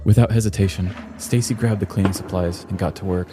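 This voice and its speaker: A man narrating a scripted true-crime comedy podcast in a theatrical, deliberate storytelling manner. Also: sits low, fairly even intonation, over loud music.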